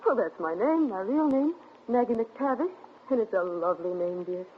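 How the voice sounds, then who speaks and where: A woman's voice: medium pitch, expressive, normal speed, breathy edge, phone-quality sound. A woman acting a devoted, dreamy young wife in a 1940s radio suspense drama, tender and theatrical. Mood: dreamily happy. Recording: thin, noisy 1940s radio recording.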